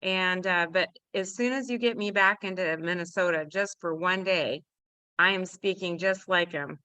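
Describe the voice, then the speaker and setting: A woman's voice: lowish voice, moderate pace, bright, forceful tone, clean tone, no room sound. An American woman with a slight Midwestern tinge, chatting casually and telling playful stories with a laugh. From United States. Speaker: panelist. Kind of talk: casual chat.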